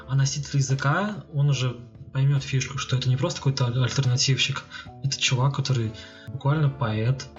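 A man's voice: mid-range voice, steady tone, fairly quick. A man co-hosting a casual discussion, arguing his point while thinking aloud. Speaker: podcast co-host. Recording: muffled sound with music behind. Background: music.

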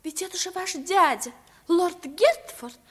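A sweet, pampered young princess speaks extremely high and slowly, drawing out her words. She is tender and theatrical, in the style of an old radio play. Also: lively intonation, a bit bright, exaggerated voice. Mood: pitying. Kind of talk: 1950s radio play acting.

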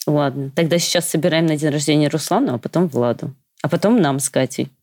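A woman of thirty co-hosts a podcast in a low voice. She teases the others with wry banter. Her voice sounds dry and close. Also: fast, a little mellow, dry recording. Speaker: podcast co-host. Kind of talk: casual podcast chat.

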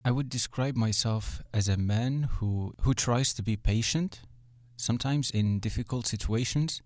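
A man's voice: medium pitch, unhurried, darkish tone, dry recording, clean studio sound. A man, easygoing and self-deprecating as an interview guest. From Morocco. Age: 20s.

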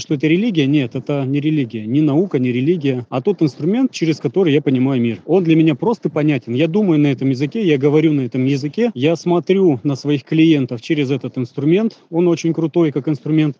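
A man speaks in a fairly high but dark, husky voice, earnest and reflective, stacking his ideas in short phrases. The recording is muffled and lo-fi. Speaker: Human Design consultant. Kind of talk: casual personal testimony.

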